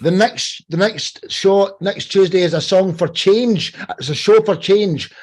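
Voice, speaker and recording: A man's voice: high-pitched, a little slow, darkish tone. A man hosting a live podcast in a casual, informal and enthusiastic way. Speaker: podcast host.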